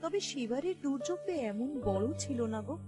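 Woman playing an anxious, high-voiced village wife. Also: lively intonation, normal speed. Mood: fearful. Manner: pleading, worried questioning. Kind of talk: cartoon voice acting. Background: background music.

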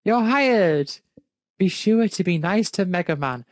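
A man voicing an irritable male robot restaurant boss who is quick to threaten, in a fan fiction reading. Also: high-pitched, expressive, no echo, studio quality. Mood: brisk.

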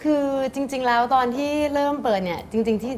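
A woman's voice: sits high, animated, fairly quick, darkish tone. A woman in her thirties talking casually as an interviewed cafe owner, explaining at length and mixing in English words. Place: small indoor cafe room. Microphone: lapel mic. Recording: clearly noisy background ambience.

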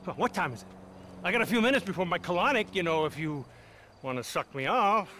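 An actor playing a vain, entitled American film star in a satirical video game, pompous, affected and condescending. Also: male voice, sits high, expressive, fast talker, sharp tone, slight background noise. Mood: pompous, languid.

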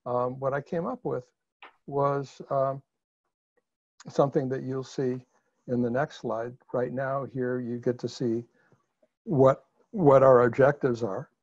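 A man's voice: medium pitch, fairly even intonation, slow pace, long pauses, a little mellow, dry recording. A man with a Chicago accent presenting a webinar over a video call, talking conversationally with fillers and restarts. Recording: muffled video call audio.

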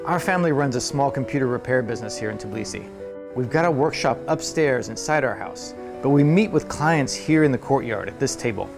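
A man in his forties presenting as a host to camera, explaining in a measured, scripted way, recorded on a lapel mic. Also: medium pitch, expressive, vocal fry, over music. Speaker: host of an electronics build channel. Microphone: lapel microphone.

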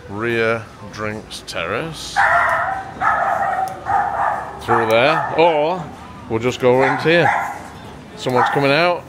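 A man's voice: mid-range voice, slow, pauses often, heavy background noise. A man in his forties walking between pubs, filming himself at arm's length and pointing things out in casual chat. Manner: upbeat, pointing things out as he walks. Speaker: travel vlogger. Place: pubs and town streets, indoors and outdoors. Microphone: handheld camera at arm's length.

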